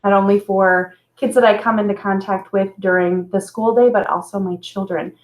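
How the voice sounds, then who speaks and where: A woman's voice: medium pitch, fairly even intonation, moderate pace, echoey room, poor audio. A woman co-hosting a live chat, asking questions in an upbeat, friendly way. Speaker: co-host moderating the chat.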